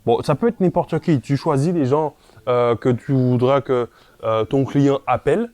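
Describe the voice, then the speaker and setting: A man with a medium-pitched voice gives an informal, step-by-step explanation straight to the viewer. He is didactic and often checks that the listener is following. The recording is dry, with hardly any room sound. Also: moderate pace, a little mellow, no echo. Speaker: presenter of a property investment tutorial.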